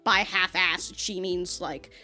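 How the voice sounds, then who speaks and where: An American woman giving casual, rambling reaction commentary, with an animated, slangy, sweary way of talking. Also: fairly low pitch, slow pace, faint music behind. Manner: animated, sweary, slangy, often laughing mid-thought. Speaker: reaction video host.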